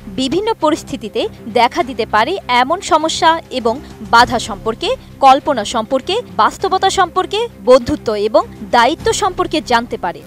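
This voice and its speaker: A woman with a Bangladeshi accent narrating a scripted news report as a reporter. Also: sits high.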